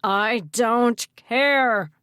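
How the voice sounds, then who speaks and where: A woman's voice: a little high, drawn-out words, a bit bright, clear, resonant tone, no echo, clean studio sound. A woman voicing a ruthless, vengeful male mayor who barks and threatens in an audiobook story. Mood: furious barking. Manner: barking, snapping, menacing.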